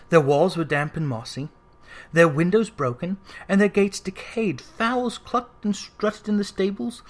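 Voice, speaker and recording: An audiobook narrator reading a classic story aloud in an expressive, scripted storytelling style. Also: medium pitch.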